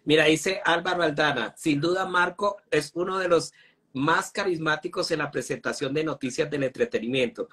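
A young man hosting a live chat, relaxed and hesitant with many fillers. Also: a little high, moderate pace, breathy edge. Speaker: host. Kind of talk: casual live interview. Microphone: phone camera close up.

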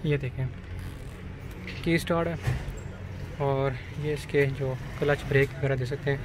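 A man's voice: medium pitch, fairly even intonation. A young man in a salesman's patter, showing viewers around a used van for sale outdoors while filming on a handheld phone. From Pakistan-administered Kashmir. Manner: brisk salesman patter, addresses viewers directly. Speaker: used car dealer vlogger. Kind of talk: walkaround vehicle review. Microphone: handheld phone camera at arm's length. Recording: noisy outdoor phone recording.

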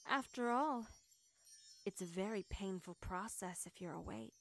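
A woman's voice: medium pitch, a little slow, fairly bright tone, pitched below the performer's own voice. A woman playing a cruel, power-hungry witch queen with haughty, gloating menace in a fantasy audio roleplay.

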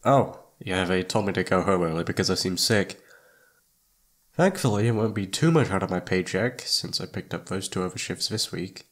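A man's voice: sits low, normal speed, fairly bright tone. A man playing a shy, flustered young incubus roommate in an ASMR roleplay, hesitant and awkward, often trailing off. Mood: nervous, evasive. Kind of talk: ASMR roleplay audio drama.

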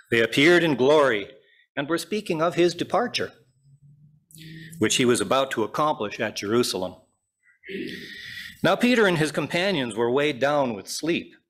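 A man's voice: mid-range voice, a bit bright. Older man reading scripture. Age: 60s. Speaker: scripture reader. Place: church sanctuary at a pulpit. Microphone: gooseneck pulpit microphone.

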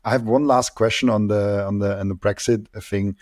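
A man's voice: fairly low pitch, fairly even intonation, moderate pace, a little mellow, dry recording. A young man hosting an interview from a room at home, speaking into a close desk mic. He hesitates, stammers and gives small laughs. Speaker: host. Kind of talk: casual interview questions. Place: room at home with colored lights. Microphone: large desk mic close up.

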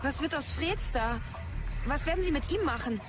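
A woman's voice: sits high, animated, normal speed. An actress playing a frightened, naive young woman in a German sci-fi audio drama, emotional and pleading. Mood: anxious, accusing. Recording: loud music bed under dialogue.

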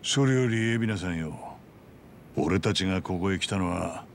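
Game companion: deep and gravelly, blunt, gruff, forever complaining tough guy. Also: normal speed, slight background noise. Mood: irritated. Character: grumbling, seasoned, blunt male tough guy. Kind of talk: dramatic video game voice acting.

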